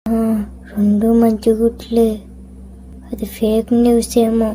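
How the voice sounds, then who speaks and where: Casting: woman as teasing, sly talking fruit for a moral tale; mellow, flat delivery, faintly noisy recording. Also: fairly high pitch, slow. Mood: sly, mocking. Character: mocking, tricky talking fruit and vegetable. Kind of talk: animated moral story dialogue.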